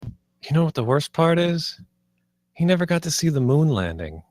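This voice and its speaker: A man's voice: on the high side, very animated, normal speed, a little mellow, no room sound, clean studio sound. A man reading the part of a wistful, idealistic theme-park designer at a screenplay table read, earnest and understated.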